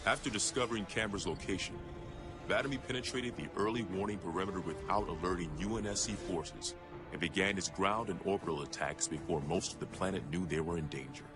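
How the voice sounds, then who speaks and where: A male actor playing a stern military intelligence officer, narrating an enemy dossier in a measured, formal, grave manner. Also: low voice, natural voice. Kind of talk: scripted dramatic briefing narration. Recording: game cutscene audio with music underneath. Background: music.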